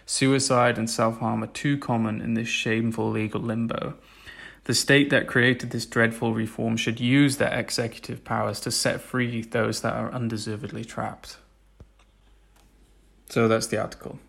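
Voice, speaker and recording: A man with a deep voice, a podcast host, giving a casual, hesitant introduction full of fillers. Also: little pitch movement, moderate pace, gravelly, fairly bright tone, breathy edge. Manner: hesitant, many fillers and restarts.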